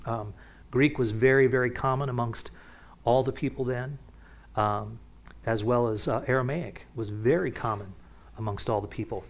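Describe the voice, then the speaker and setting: A man teaching from the Bible in a relaxed, conversational way, often restarting sentences and picking up energy as he warms to a point. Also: medium pitch, moderate pace, creaky. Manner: casual, with many restarts and asides. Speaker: pastor.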